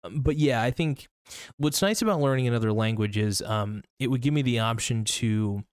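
A man's voice: mid-range voice, normal speed, clean tone, no echo, clean studio sound. A young man hosting a casual solo podcast, rambling through his thoughts with lots of fillers and restarts. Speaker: podcast host.

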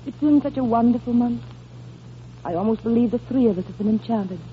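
Compassionate gentlewoman, played by an actress, higher register, earnest, emotional. Golden-age radio play, tinny narrowband recording. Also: fairly quick, lightly acted. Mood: wistful. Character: gentle, compassionate woman befriending a dying child. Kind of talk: 1930s-40s American radio drama acting. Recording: thin, phone-like old radio recording, hiss.

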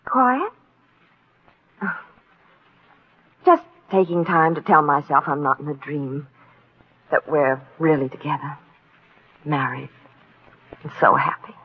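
An actress playing a wealthy, affectionate wife with hidden unease, in a theatrical, genteel 1940s radio style. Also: female voice, low-pitched, expressive, measured pace, pauses often, slightly rough, exaggerated voice. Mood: affectionate, evasive. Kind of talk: 1940s radio drama acting. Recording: thin, phone-like vintage radio recording.

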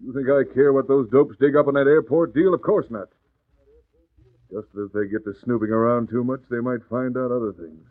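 A man's voice: mid-range voice, brisk, no echo, lightly acted. A man playing a crooked, scheming American businessman in a 1940s radio drama, brusque and slangy, blustering yet anxious. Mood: irritated, worried. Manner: brusque, slangy, tough-talking. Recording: dry, tinny old radio recording.

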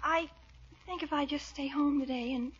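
Woman as plaintive high-voiced teenage girl. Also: fairly even intonation, moderate pace, natural voice. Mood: dejected. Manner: plaintive, dramatic. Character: mopey, self-pitying, sensitive teenage niece. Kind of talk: 1940s radio comedy acting. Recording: muffled old radio broadcast.

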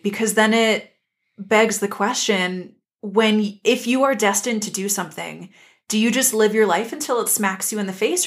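A woman with a mid-range voice is co-hosting a casual discussion podcast. She talks in a reflective, inquisitive way, working through ideas as she goes. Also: medium pitch. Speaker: podcast co-host. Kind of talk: casual discussion of an animated series.

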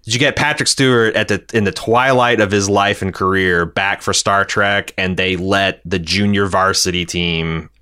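A man's voice: low voice, steady tone, normal speed, few pauses, sharp tone, clean tone. A man hosting a podcast, casually recapping television and reading out listener feedback in an opinionated, exasperated way with some swearing. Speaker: podcast host.

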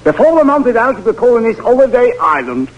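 An actor playing a chatty, easily frightened prison guard in a 1940s radio drama, on a noisy old recording. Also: male voice, very high voice, sing-song, fairly quick, highs cut off, exaggerated voice.

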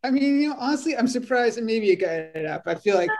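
A podcast guest in casual banter, incredulous and joking, with halting phrasing. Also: medium pitch, expressive, darkish tone.

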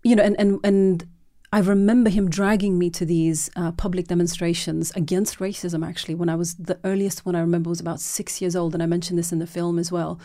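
A woman's voice: fairly low pitch, flat delivery, fairly quick, mellow. A woman from Norway, a documentary filmmaker, giving long, earnest interview answers full of repeats and restarts.

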